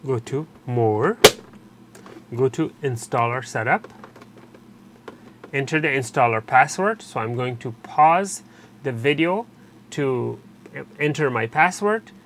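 A man narrating an unscripted step-by-step technical tutorial in a halting, explanatory way, repeating and rephrasing as he goes. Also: mid-range voice. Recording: steady background noise, room ambience.

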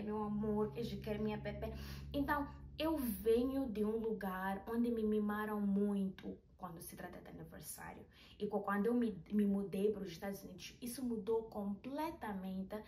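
Chatty young woman vlogging in a roomy bedroom. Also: mid-range voice. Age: 20s. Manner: chatty, animated, with hand gestures. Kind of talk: casual storytelling vlog. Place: small bedroom indoors. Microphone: camera at a distance. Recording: roomy, a little distant, faint hiss.

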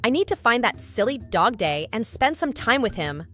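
A woman's voice: fairly high pitch, big pitch swings, moderate pace, a bit bright, faint music behind. A woman voicing a moody purple cartoon cat in a comic dub, theatrical and swinging between sweet and snappish. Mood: cheerful. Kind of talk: animated comic dub acting.

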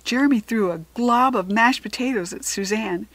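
An American woman narrating a children's story expressively, with deliberate dramatic emphasis on key words. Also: a little high, a bit bright, no room sound. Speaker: storybook reader.

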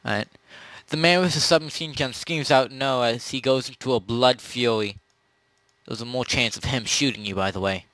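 A man improvising casual narration as game master of a role-play, often halting and correcting himself. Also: medium pitch, fairly bright tone, no room sound, rough recording.

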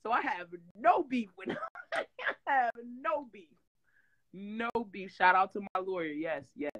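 A woman, telling her story with animated swings as a guest on a video call. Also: mid-range voice, a little slow, dull audio. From Detroit. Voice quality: rough voice. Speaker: interview guest, former reality-show singer. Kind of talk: casual chat. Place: home room with green wall backdrop, video call.

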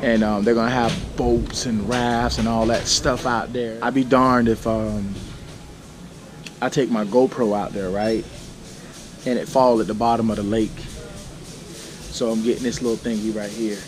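A man in his thirties with a slightly scratchy voice, chatting casually to his handheld camera as a vlogger inside a large store, relaxed and full of fillers. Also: on the low side, moderate pace. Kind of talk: casual vlog talk to camera. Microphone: handheld action camera at arm's length. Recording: noisy handheld camera audio.

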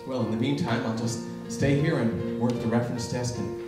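A man's voice: medium pitch, a little fast, over music. Young guy doing a scripted funny monologue, super echoey, bit far from the mic. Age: young adult. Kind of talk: scripted comic monologue between song lines. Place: small indoor room with audience. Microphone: stand microphone.

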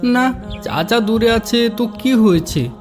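High-voiced, earnest good boy played by a man. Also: lively intonation, takes it slowly, soft background music, exaggerated voice. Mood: firm, admonishing. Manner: earnest, admonishing. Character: pious, honest, helpful boy, the good older brother. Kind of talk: children's moral cartoon dub.

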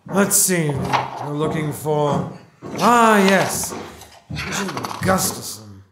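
A breezy, joking morgue doctor in an audio drama. He speaks very slowly in an echoey room with some noise in the recording. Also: on the high side, expressive. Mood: jovial. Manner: jovial, leisurely. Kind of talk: scripted audio drama acting.

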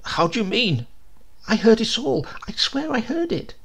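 Male reader as old rural postman, storybook tale, raised pitch, animated, earnest, naive, flustered. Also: moderate pace, creaky, breathy edge, exaggerated voice. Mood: baffled, insistent. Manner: urgent, bewildered.

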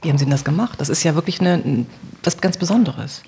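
A woman podcast host speaks in a low register with a creaky edge. She interviews in a conversational, enthusiastic manner. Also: animated, fast, mellow.

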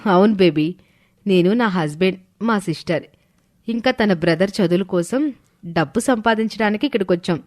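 A woman's voice: medium pitch, normal speed, a little mellow, natural voice. A woman voicing a young, earnest working woman in a moral story cartoon. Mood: friendly, earnest. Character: young working wife and her chummy office friend. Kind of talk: animated moral story dialogue.

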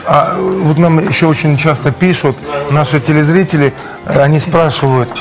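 A male host bantering on a studio kitchen set, his voice a touch higher than most men's. He speaks haltingly and teases his guests, and music plays underneath. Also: steady tone, low-quality recording. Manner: halting, teasing, many fillers. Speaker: cooking show host.

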